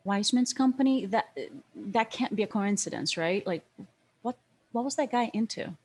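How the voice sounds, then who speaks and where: An actress in a screenplay table read playing a younger police detective, wry and skeptical, with a dry, probing manner. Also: female voice, medium pitch, expressive, moderate pace, darkish tone, lightly acted.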